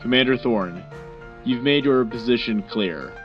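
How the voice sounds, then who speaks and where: A man playing a measured, serious host moderating a scripted wartime radio debate. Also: mid-range voice, close to an everyday voice. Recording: dull lo-fi radio sound with music bed.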